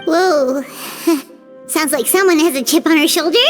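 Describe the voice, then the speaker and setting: A woman's voice: sits high, measured pace. A woman voicing a tiny, chirpy female companion who is nosy and teases bluntly. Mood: teasing. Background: music.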